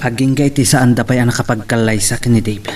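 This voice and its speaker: A man's voice: mid-range voice, fairly even intonation, fast, far from the mic, a little hiss or hum, lightly acted. A man with an Ilocano accent playing a lovelorn business owner in a romance radio drama. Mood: brooding. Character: lovelorn male boss and business owner, envying his friend.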